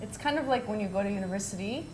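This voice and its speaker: American woman in an echoey, noisy room. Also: racing. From United States. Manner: conversational, with frequent "you know" and "like". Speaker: guest storyteller and host of the evening at home. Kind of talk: informal personal storytelling to a small group. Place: open-plan room at home. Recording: echoey room with clear rumbling noise.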